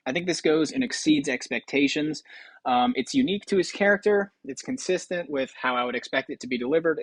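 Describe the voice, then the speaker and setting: A man's voice: mid-range voice, no echo. A man hosting casual gaming commentary, rambling and thinking aloud with some hesitation. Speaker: video host.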